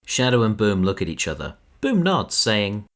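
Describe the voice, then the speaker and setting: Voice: sits low, wildly swooping pitch, normal speed, fairly bright tone. A narrator reading scripted scene descriptions for a fan audio drama.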